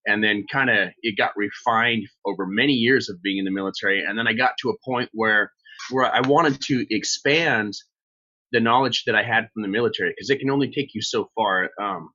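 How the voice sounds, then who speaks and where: Bright, forceful American man, slightly low voice. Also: brisk, lo-fi sound. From southeastern Idaho, United States. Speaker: podcast guest, survival skills instructor. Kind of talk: casual interview storytelling.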